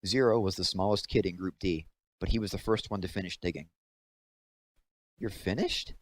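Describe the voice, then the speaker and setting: A man with a fairly low voice reads a children's novel aloud. He is the narrator, delivering scripted prose as a story. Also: steady tone, quick pace, no room sound.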